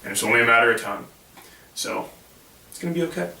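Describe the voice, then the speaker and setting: A man co-hosting a gaming podcast, hoarse from losing his voice, blunt and dismissive as he reads out news. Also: mid-range voice, animated, quick pace, bright, forceful tone, roomy sound, distant mic, poor audio. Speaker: podcast co-host. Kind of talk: casual gaming podcast chat.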